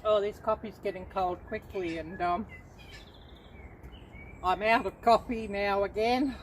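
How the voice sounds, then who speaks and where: A middle-aged woman from Australia hosting a casual livestream, chatting unhurriedly to viewers with many pauses and ums. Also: medium pitch, steady tone, slight background noise. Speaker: livestream host.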